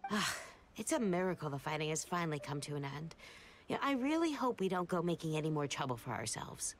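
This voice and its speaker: Lively, swooping village vendor. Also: female voice, sits low, fairly quick, soft background music. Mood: confiding, wary. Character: chatty, wary female village produce seller. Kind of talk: video game voice acting.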